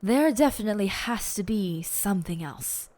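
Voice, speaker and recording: A woman playing a smug, theatrical, teasingly bossy lead detective in an audio roleplay, with pompous and deliberate phrasing. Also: medium pitch, expressive, a little mellow, natural voice. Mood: deliberate, musing.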